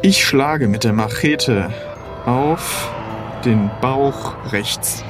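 A man's voice: medium pitch, extremely slow, over music. A man playing an improvised audio role-play game, thinking aloud as he announces his actions. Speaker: player in an adventure game.

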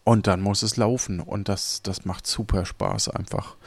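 A man's voice: fairly low pitch, steady tone, a little slow, creaky, dry recording. Man chatting casually, close dry sound. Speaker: podcast host. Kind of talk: casual post-show chat.

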